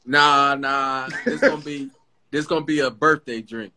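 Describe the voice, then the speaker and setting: A man's voice: medium pitch, fairly even intonation, measured pace, bright, forceful tone, slight background noise. A man from Detroit chatting casually and joking with friends on a podcast, laughing often and swearing freely. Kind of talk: casual chat.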